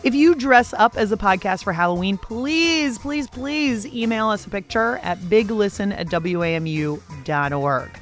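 A woman's voice: mid-range voice, expressive, normal speed, few pauses, soft background music. An American woman speaking as a podcast host, with a playful, jokey way of narrating and interviewing. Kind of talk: scripted radio narration and interviewing.

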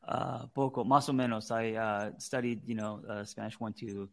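Korean-born guy hosting, lowish voice, lots of uh and you know, supportive. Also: fairly even intonation, normal speed, gravelly. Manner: encouraging, affirming, many fillers. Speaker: podcast host. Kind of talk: casual interview.

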